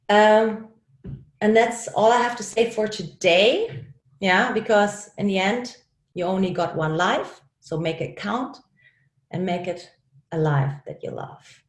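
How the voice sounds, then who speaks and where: German lady coach on a video call, upbeat and encouraging, lowish voice, kinda echoey room. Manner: upbeat, laughs often, encouraging. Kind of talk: online coaching talk.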